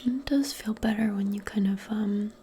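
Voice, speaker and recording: A woman doing a soft-spoken ASMR roleplay, mostly in a breathy whisper, with a gentle, reassuring and hesitant way of talking. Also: mid-range voice, normal speed, a little hiss or hum.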